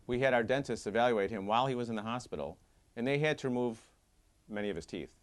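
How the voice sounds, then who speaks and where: A man in his fifties, a surgeon, giving an earnest, measured scripted appeal from his office. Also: medium pitch. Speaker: head and neck surgeon. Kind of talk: scripted advocacy monologue. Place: doctor's office with diplomas.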